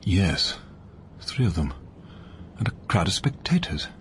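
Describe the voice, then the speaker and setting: An actor playing a witty, arch entertainer turned sleuth in a radio mystery, with a theatrical, dryly flippant manner. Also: male voice, sits low, sing-song, unhurried, fairly bright tone, whispered, full character voice. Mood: grave. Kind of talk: radio mystery drama acting.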